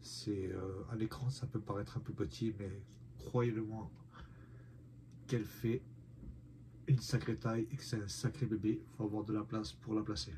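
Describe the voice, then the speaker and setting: A man speaks low and rather flat, his nose stuffed up from a cold. He chats casually to his viewers, pausing often with fillers. A faint hiss sits under his mellow voice. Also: rough recording. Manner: hesitant, many "euh" fillers, speaks to viewers. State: nasal from a head cold. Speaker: figure collector reviewing a figurine. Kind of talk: casual unboxing vlog.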